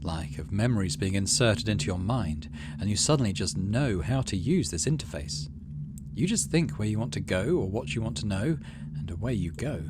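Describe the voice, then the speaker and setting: A middle-aged man doing let's play commentary, muttering asides and reading game narration aloud in a measured storytelling way. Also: fairly low pitch, animated, background noise. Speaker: gaming video host.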